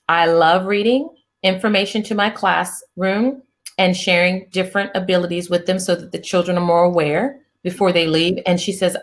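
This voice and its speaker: A middle-aged woman hosting an interview, talking in a soft, soothing way with many restarts. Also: on the low side. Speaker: interview host, psychiatrist. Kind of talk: casual interview chat.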